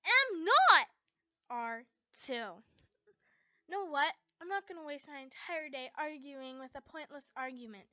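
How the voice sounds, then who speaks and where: A woman's voice: high-pitched, lively intonation, unhurried, sharp tone, no room sound, highs cut off, pitched above the performer's own voice, heavily acted. A woman voicing a stubborn, easily flustered teenage girl heroine in a story. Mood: flustered, defensive.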